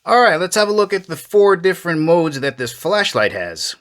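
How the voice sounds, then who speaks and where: A man's voice: sits high, big pitch swings, normal speed, a bit bright. A man hosting a casual hands-on gadget review, talking plainly and directly to viewers in a folksy way. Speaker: product reviewer, video host.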